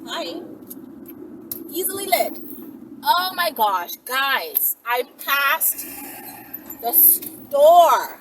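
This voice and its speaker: A woman's voice: high voice, sing-song, drawn-out words, pauses often, a bit bright. A woman chatting casually as a storytelling vlogger, with slangy, emphatic phrasing and lots of repetition for effect. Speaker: vlogger telling stories to followers. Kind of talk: casual storytelling vlog chat. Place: out and about, on the move in town. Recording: distant mic, noisy vehicle ambience.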